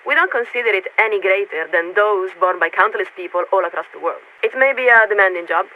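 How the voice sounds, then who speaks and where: A woman playing a polite, guarded young warship radio signaler in a video game, speaking in formal, measured official diction through a radio filter. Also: medium pitch. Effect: radio transmission filter. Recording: tinny narrowband radio with static noise.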